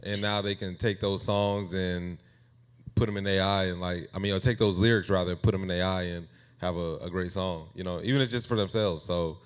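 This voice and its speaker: A man speaking casually as an interview guest, thinking aloud in a halting, conversational way. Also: low voice, steady tone, clean tone. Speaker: guest AI music creator.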